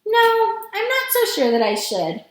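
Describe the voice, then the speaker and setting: A woman voicing a mild, thoughtful father and husband in a storybook, gentle and hesitant as they reason. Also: very high voice, huge pitch swings, unhurried, pitched above the performer's own voice, heavily acted. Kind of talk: storybook character reading. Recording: strongly echoing room.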